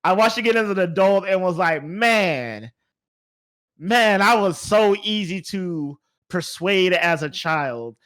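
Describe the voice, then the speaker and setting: Higher-pitched man hosting a casual chat. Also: lively intonation, a little slow, fairly bright tone. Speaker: podcast host. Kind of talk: casual chat about movies.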